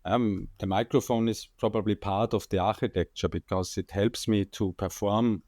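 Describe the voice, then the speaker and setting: A man's voice: on the low side, fairly even intonation, normal speed, a little mellow, no room sound, clean studio sound. A man with an Austrian accent, a guest on a podcast interview, explaining ideas with plenty of fillers and the odd joke, speaking into a condenser microphone. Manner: explanatory, joking, many fillers. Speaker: guest enterprise architect.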